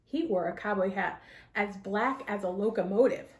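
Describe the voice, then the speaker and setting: Expressive woman storyteller in an echoey room. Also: medium pitch, moderate pace. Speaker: storyteller reading aloud. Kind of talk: expressive children's story reading.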